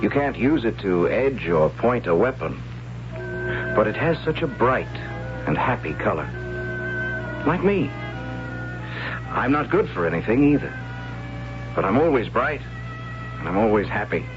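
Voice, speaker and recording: Lowish-voiced, artless young radio innocent. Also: male voice, big pitch swings, dull audio. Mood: wistful, artless. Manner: hesitant, artless, halting. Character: simple, cheerful, inept young son of high priest. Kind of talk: 1970s radio drama acting. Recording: band-limited old radio broadcast, loud music bed.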